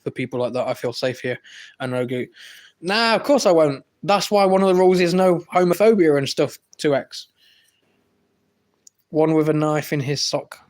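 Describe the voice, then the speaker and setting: Brash English man, slightly high voice. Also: moderate pace. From England. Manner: brash, teasing, boastful. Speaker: livestream host reading chat. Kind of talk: casual live-stream banter.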